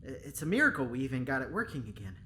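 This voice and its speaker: An actor playing an easygoing but flustered lab doctor in an audio drama, with a casual, hesitant way of talking. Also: male voice, medium pitch, sing-song, racing, pushed and bright, slight background noise.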